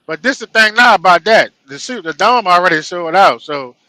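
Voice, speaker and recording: Man, high pitch, piercingly bright tone, blunt, salty casual sports talk. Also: lively intonation, normal speed, no echo, rough recording. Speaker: sports podcast panelist.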